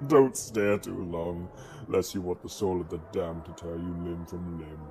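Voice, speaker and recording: Guy doing evil gloating sorcerer, slow dark deep voice, smug, audio drama. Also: fairly even intonation, faint music behind. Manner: smug, menacingly polite. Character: sinister, gloating male sorcerer serving a dark lord.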